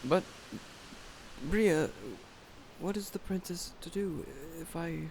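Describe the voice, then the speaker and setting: A man playing a troubled, unsure figure in a fantasy audio drama, halting and hesitant. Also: high voice, fairly even intonation, vocal fry, heavily acted. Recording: faint background hiss.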